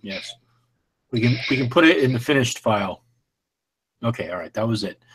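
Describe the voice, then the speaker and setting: A man's voice: mid-range voice, lively intonation, moderate pace, a bit bright, breathy edge, low-quality recording. A man co-hosting a casual livestream, commenting wryly on the videos they play. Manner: casual and wry. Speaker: podcast co-host.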